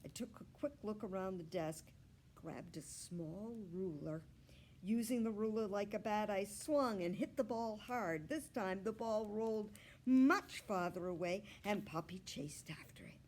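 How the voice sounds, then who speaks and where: A woman storyteller reading a children's book aloud with expressive, deliberate narration. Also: medium pitch, slight background noise.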